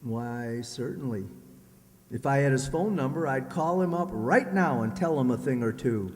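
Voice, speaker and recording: A man's voice: mid-range voice, lively intonation, moderate pace, a little mellow, lightly acted. A man playing a jealous, blustering husband in an old-time radio sitcom, with a theatrical delivery. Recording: echoey hall.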